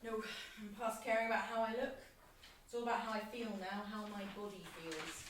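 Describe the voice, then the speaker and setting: A woman's voice: medium pitch, fairly quick, natural voice. Echoey, guarded young woman, acted. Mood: dry, guarded. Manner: frank, dry, reserved. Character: blunt, guarded young woman, cooler best friend. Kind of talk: naturalistic audio drama acting. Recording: very echoey, distant, faint noise.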